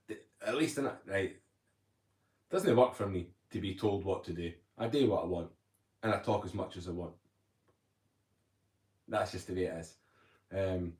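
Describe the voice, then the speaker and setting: A man talks loosely on a livestream, rambling from one thought to the next and reading out viewers' comments as he goes. His voice sits a touch low for a man. The sound carries a noticeable room echo. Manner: chatty, rambling, reads viewer comments aloud. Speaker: livestream host. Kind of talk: casual livestream chat. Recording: roomy echo.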